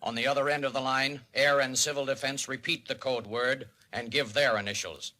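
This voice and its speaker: An American man narrating a 1950s radio civil defense documentary in a grave, emphatic, deliberate manner. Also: medium pitch, fairly even intonation, pushed and bright, close to an everyday voice. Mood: grave, urgent. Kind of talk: 1950s radio documentary narration, dramatic public-service announcing. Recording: vintage 1950s radio recording.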